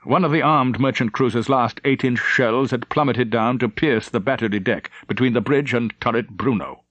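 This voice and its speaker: A man's voice: mid-range voice, normal speed, fairly bright tone, dry recording, dull audio. A man narrating a novel as a scripted, dramatic audiobook reading.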